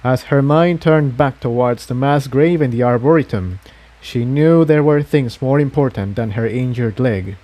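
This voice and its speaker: A man's voice: medium pitch, moderate pace, warm tone. A man reading an adventure story aloud as a scripted narration.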